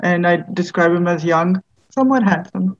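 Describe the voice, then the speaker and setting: Low-voiced woman on a lo-fi call. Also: moderate pace, slight background noise. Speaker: focus group participant.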